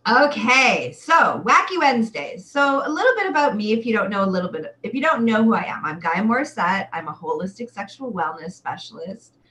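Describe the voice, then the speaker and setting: A woman in her forties hosting a chatty live webcast, talking to viewers in an exuberant, theatrical way with drawn-out emphatic words. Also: fairly high pitch, very animated, bright, forceful tone, low-quality recording. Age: 40s. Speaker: livestream show host and sexual wellness coach.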